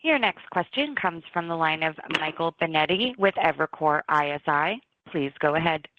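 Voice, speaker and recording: A woman's voice: sits low, animated, moderate pace, noisy background. A woman serves as operator on a conference call, delivering scripted announcements. The sound is slightly dull, like a telephone feed. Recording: dull telephone-quality audio.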